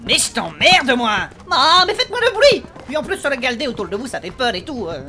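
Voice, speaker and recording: Man playing a lively, exasperated adventurer. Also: very high-pitched, a little fast, a little hiss or hum, heavily acted. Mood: nervous, exasperated. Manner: hesitant, grumbling, colloquial. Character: nervous, easily exasperated young male fantasy adventurer. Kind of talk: comic fantasy audio drama acting.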